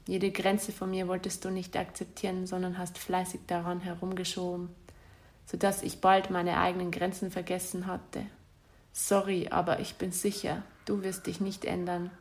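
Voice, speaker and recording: A woman reading out a letter she wrote to her former partner, in a bitter, accusatory and insulting way. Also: fairly low pitch. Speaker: spurned ex-partner.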